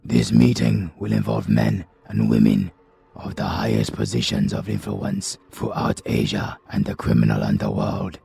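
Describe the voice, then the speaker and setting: A man with a British accent voicing a calm, commanding male crime boss. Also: lowish voice, creaky, breathy edge, voice pushed up, exaggerated voice.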